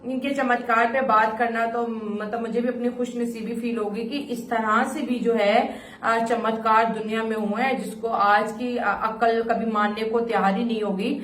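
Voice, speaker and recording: A woman's voice: a little high, fairly even intonation, moderate pace, a bit bright, a little hiss or hum. A woman hosting a casual reaction chat, speaking hesitantly and mixing in English words. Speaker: reaction video host. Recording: roomy, echoey home-style recording.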